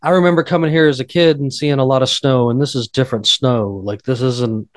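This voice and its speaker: Slow-drawling man, dry close sound. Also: mid-range voice, fairly even intonation, dry recording. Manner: rambling and drawn out, told he slurs. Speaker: podcast guest. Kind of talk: casual podcast chat.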